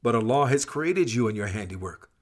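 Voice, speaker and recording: Man voicing an impassioned, husky prophet. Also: mid-range voice, lively intonation, brisk, close to an everyday voice. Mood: challenging. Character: devout, impassioned male prophet challenging idol worshippers.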